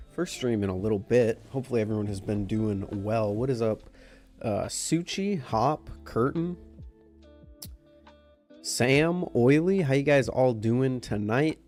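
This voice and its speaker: Young man, nasal cold voice, casual stream chat, middle pitch, faint music behind. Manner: relaxed, greeting chat by name. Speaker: livestream host. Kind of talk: casual chat with live viewers.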